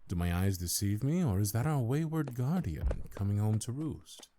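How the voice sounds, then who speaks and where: A man playing an ancient, stately high councilor in a fantasy audio drama, formal and pompous, with slyly condescending courtesy. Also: expressive, moderate pace, mellow, a little hiss or hum, close to an everyday voice. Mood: grave, intrigued. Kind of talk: audio roleplay fantasy acting.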